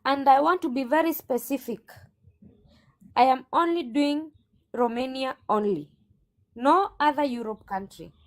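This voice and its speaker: Brief: woman agent under questioning, high voice, firm, sassy comebacks. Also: measured pace, pauses often. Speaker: recruitment agent. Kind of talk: defensive interview answers.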